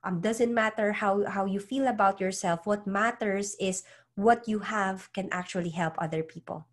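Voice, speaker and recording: A woman's voice: mid-range voice, moderate pace. A woman for whom English is a second language, a psychologist and author coach giving advice as an interview guest, earnest and often repeating words as she finds her phrasing. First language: not English.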